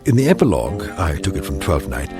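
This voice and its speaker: An actor playing a proud, flowery Elizabethan playwright, declaiming grandly in archaic phrases. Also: male voice, sits low, sing-song, quick pace. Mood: puzzled, dismayed. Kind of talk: theatrical TV drama acting. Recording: music under the dialogue.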